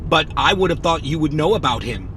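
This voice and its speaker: A man's voice: on the high side, lively intonation, brisk, a bit bright, a little hiss or hum. A man playing a proud, earnest, childlike robot companion in a children's audio drama.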